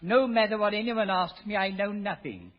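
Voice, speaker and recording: Nervous, proper butler male actor, high-pitched, deferential, muffled vintage radio recording. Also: normal speed, natural voice. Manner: prim, deferential, precise. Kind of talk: 1930s-40s radio drama acting. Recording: muffled old radio, echoey and distant.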